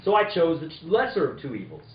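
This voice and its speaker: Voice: medium pitch, huge pitch swings, quick pace. A performer playing a tough, wisecracking male private investigator in an old-time detective radio drama, punchy and accusing. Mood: wry narrating. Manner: accusing, punchy, first-person narration and interrogation. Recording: echoey room, dull noisy sound.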